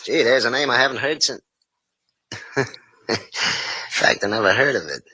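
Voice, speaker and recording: A man's voice: mid-range voice, unhurried, sharp tone, slightly rough, no echo, low-quality recording. An actor playing a menacing, sardonic cafe keeper, a shady tough guy in hardboiled old radio drama style.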